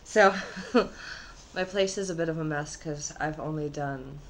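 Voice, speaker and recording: A woman with a low, slightly husky and nasal voice chats to her camera at home. She rambles from one thought to the next and often leaves sentences unfinished, with a faint hiss in the background. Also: unhurried. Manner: rambling, trailing off mid-thought. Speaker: vlogger. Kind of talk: casual rambling vlog. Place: her own apartment.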